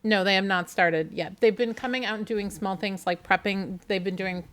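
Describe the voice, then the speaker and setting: A woman on town staff giving a brisk, informative update at a town committee meeting. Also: medium pitch, steady tone, a bit bright, a little hiss or hum.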